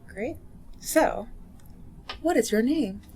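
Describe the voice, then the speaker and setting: A woman interviewer gently asking questions in an oral history interview. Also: fairly high pitch, lively intonation, takes it slowly, slight background noise. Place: community center room.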